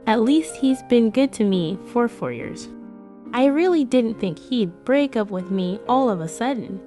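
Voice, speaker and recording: A woman's voice: on the high side, animated, unhurried, mellow. A woman voicing a heartbroken young office worker who narrates her breakup in a romance comic dub. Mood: wistful. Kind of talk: scripted first-person comic dub narration. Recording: music behind the voice.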